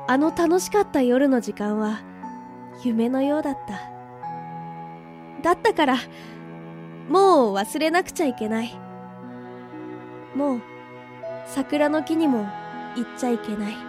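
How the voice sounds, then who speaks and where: A woman's voice: high voice, animated, unhurried, long pauses, over music. A woman playing a tender, wistful twenty-year-old village girl in a Japanese radio drama, full of longing. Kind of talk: radio drama acting with inner monologue.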